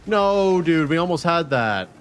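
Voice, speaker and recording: A man in his thirties streaming a video game live, chatting casually with his viewers and reacting excitedly with slang and swearing. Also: fairly high pitch, measured pace, clean tone. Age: 30s. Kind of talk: casual live-stream chat while gaming.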